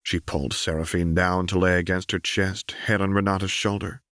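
A man's voice: deep voice, flat delivery, moderate pace, a bit bright, no room sound, studio quality. A man reading fiction as a scripted audiobook narration.

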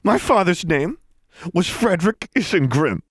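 A vengeful teenage witch bully, played by a male narrator in a fantasy audiobook. The voice is pushed high and swings wildly as she snarls and shouts accusations. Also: very animated, a little fast, no room sound, clean studio sound. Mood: menacing, rising anger.

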